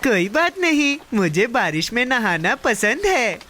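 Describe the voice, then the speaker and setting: A woman's voice: fairly high pitch, unhurried, a little hiss or hum. A woman voicing a cheerful, impulsive, kind-hearted young boy in a playful, childlike way for a cartoon dub. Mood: delighted. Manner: playful, expressive, childlike delivery. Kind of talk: cartoon dubbing. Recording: music bed under the voice.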